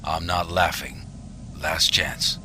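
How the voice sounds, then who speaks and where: An actor playing a ruthless military general in a science fiction audio drama, cold, grave and deliberate in manner. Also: male voice, sits low, level tone, bright, forceful tone, a little hiss or hum, exaggerated voice. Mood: quietly menacing.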